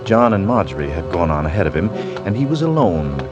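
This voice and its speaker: Suspense narrator, 1940s radio. Very low, solemn, scripted, over a clear musical score. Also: male voice, very animated, fairly quick, muffled recording, over music. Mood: brooding. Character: solemn male storyteller of a suspense tale. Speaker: story narrator. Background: loud musical underscore.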